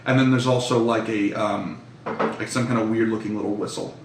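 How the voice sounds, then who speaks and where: A man's voice: on the low side, fairly even intonation, fairly quick, noisy background. Male game master, informal and sweary, improvising scenes for players, recorded a little off-mic in a live room. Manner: profane, informal. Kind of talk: improvised tabletop game narration, casual chat. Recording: roomy echo, slightly distant mic.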